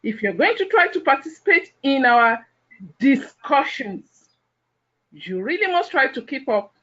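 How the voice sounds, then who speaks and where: A woman's voice: high-pitched, sing-song, a little slow, a bit bright, lo-fi sound, full character voice. A woman playing a haughty, judgmental gossip in a biblical audio play, condescending and full of disapproval. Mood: scornful.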